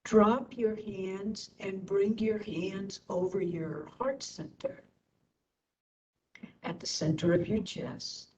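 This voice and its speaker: A woman instructor leading a guided meditation in short phrases with long pauses. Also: mellow. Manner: slow, pausing between short phrases. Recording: distant mic, dull, faint rumbling noise.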